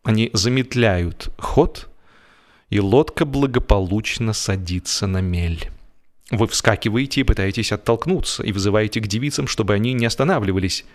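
A man reading a scripted humorous story as a narrator, with an expressive, theatrical delivery. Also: on the low side, normal speed. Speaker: audiobook narrator and performer.

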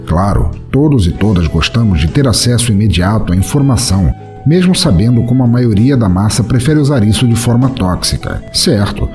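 Low-pitched Brazilian man narrating with wide intonation. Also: lively intonation, normal speed, few pauses, a little mellow, faint music behind. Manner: literary, elaborate wording. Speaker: podcast host and narrator. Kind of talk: dramatic scripted narration.

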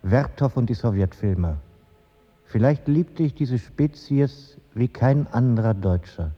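Aggrieved artist ghost in radio play: husky, long reverb, music underneath. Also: male voice, medium pitch, animated, a little slow, highs cut off. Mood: wistful. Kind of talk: German radio drama acting.

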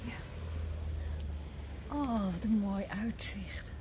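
Attentive, inquisitive girlfriend: average pitch, soft naturalistic radio drama, noticeably noisy track. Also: extremely slow. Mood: drowsy, content. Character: restorer's female companion, gentle, attentive, inquisitive. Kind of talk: naturalistic radio play acting.

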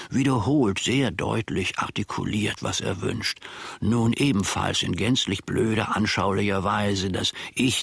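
An older man playing an aggrieved, sly old manservant in a radio play, grumbling and scornful in a gravelly, husky voice, speaking with theatrical deliberation. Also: fairly low pitch, a bit bright, dry recording, heavily acted. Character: aggrieved, sly old manservant settling scores with his master.

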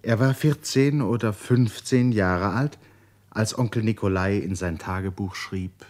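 An actor playing the solemn narrator of a literary radio play, husky-voiced, with measured, dramatic delivery. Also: male voice, lowish voice, darkish tone, natural voice. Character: solemn male narrator of a Russian family saga.

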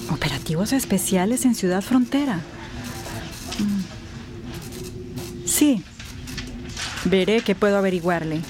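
A woman's voice: medium pitch, lightly acted. An actress in a radio drama playing a composed, compassionate professional woman in charge of an office. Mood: dutiful. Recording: clear background ambience noise.

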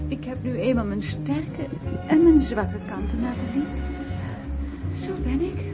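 A woman's voice: a little high, animated, measured pace, music in the background. An actress playing a self-satisfied lady heard as a memory in a radio drama. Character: self-satisfied lady, remembered employer, speaking in recollection.

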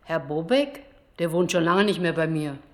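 A woman's voice: low-pitched, expressive, moderate pace. A woman playing a reserved, plain-spoken landlady in a radio play. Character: reserved female landlady at her door. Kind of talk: naturalistic radio drama dialogue.

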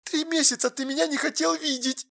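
A woman's voice: high-pitched, moderate pace, bright, forceful tone. A woman playing a hurt young girlfriend in an audio drama, tearful, reproachful and emphatic. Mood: tearful, firm.

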